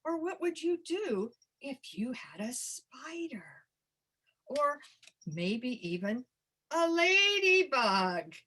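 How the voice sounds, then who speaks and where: A woman presenting a storytelling workshop in an animated, theatrical and encouraging conversational manner. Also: high-pitched, takes it slowly, breathy edge. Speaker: storytelling presenter and early literacy educator. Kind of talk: workshop demonstration with storytime performance.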